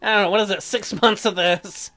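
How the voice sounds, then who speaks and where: A man's voice: sits high, steady tone, fairly quick, sharp tone, soft background music. A man giving casual, crude and sarcastic game commentary as he plays. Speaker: let's player.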